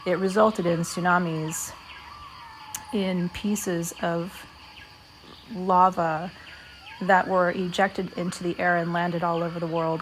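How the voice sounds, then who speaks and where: A woman with a fairly low voice speaks as a meditation guide. She is measured and deliberate, placing short phrases between pauses. Clear nature noise, with birds and crickets, runs behind her. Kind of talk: guided meditation talk, spoken reflectively.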